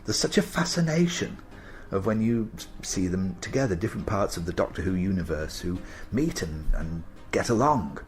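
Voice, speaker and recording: Male interviewee, deep register, appreciative and a little halting. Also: big pitch swings. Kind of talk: informal interview.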